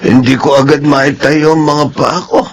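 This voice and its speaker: Creaky-voiced elderly patriarch played by a man, patient yet firm, radio drama, slight background noise. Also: on the high side, level tone, normal speed, close to an everyday voice. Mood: apologetic, kindly. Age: older. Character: ailing elderly father and businessman, patient but firm. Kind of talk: Filipino radio drama acting.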